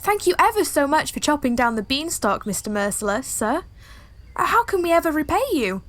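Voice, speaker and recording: A woman's voice: high-pitched, big pitch swings, a little fast, a bit bright. A woman playing a plucky, earnest young helicopter pilot in an audio pantomime, with music behind her. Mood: grateful, eager.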